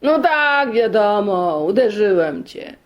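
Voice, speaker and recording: Woman playing a loud, scolding brother. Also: mid-range voice, very animated, takes it slowly. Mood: defiant. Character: scolding brother, quarrelsome, unyielding young male.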